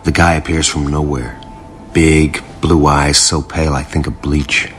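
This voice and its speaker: The bleak inner monologue of a down-and-out character in a radio drama. The voice is extremely deep and brooding, delivered in terse fragments. Faint music runs underneath. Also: male voice, fairly even intonation, a little slow, fairly bright tone, exaggerated voice. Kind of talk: scripted first-person drama narration.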